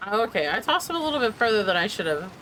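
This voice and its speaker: A woman giving casual, chatty live commentary as she plays a game, rambling along loudly. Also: medium pitch, very animated, fast, rough recording. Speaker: game streamer. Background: game music.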